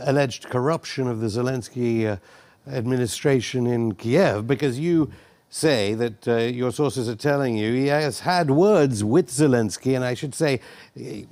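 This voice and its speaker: A man's voice: medium pitch, normal speed, a little mellow. A man hosting a news interview, moving between scripted introduction and questions to his guest. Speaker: interviewer, host.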